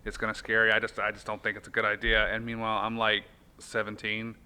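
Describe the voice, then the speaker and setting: Bright-voiced man hosting a casual podcast. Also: on the low side, monotone, fast, clear, resonant tone. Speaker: podcast host. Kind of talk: casual chat about films.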